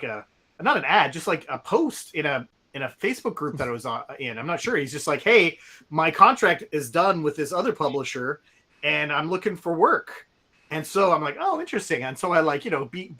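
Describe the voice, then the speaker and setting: A man's voice: fairly high pitch, sharp tone. A man hosting a livestream who talks in an upbeat, rambling way with plenty of fillers. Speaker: game publisher host. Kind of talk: casual livestream chat.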